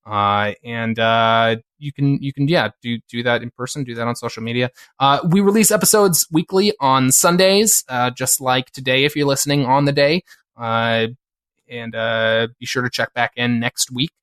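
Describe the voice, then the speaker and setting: A man co-hosting a podcast who talks at an average pitch. He speaks in a halting, rambling way, backing up and restarting his sentences as he chats. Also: a bit bright, smooth. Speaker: podcast co-host. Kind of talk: casual chat.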